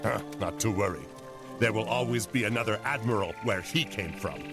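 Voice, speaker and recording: A man's voice: lowish voice, moderate pace, music in the background, lightly acted. A man playing a wry, irreverent Chinese sailor and loyal sidekick in a video game cutscene, with a dry, sardonic delivery. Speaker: game character, captain's companion.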